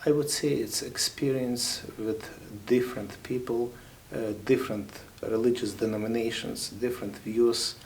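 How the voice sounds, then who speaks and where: A man in his thirties with a Ukrainian accent, a chaplain giving thoughtful, halting interview answers with many pauses. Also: medium pitch, steady tone.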